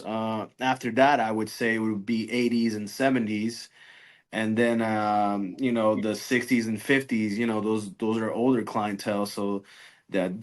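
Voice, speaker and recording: A man around his thirties or forties talking casually as an interview guest, hesitantly, often repeating words. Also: on the low side. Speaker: guest, music media grader.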